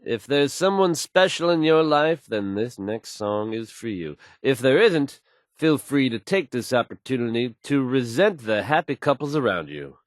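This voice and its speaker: An actor playing a confident radio DJ in a game, announcing with polished, assured delivery. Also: male voice, mid-range voice, sing-song, moderate pace, dry recording, studio quality, lightly acted. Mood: confident, easygoing. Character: confident, smooth male radio DJ and newsreader. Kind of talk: radio song introductions and news reading.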